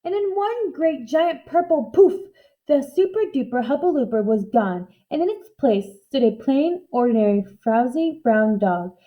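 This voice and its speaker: A woman reading a children's storybook aloud in expressive narration. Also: high voice, measured pace, highs cut off. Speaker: storybook reader.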